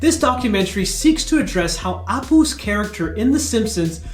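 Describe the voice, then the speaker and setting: A man's voice: high-pitched, big pitch swings, moderate pace. A man in his thirties delivering scripted commentary as a video channel host. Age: 30s. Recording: roomy echo with music behind. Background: background music.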